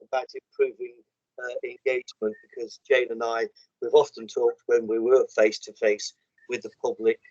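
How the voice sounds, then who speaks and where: A man, a councillor chairing an online meeting, speaks haltingly and keeps repeating "I think". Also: mid-range voice, level tone, a little mellow. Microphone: video call. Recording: dull lo-fi video call audio.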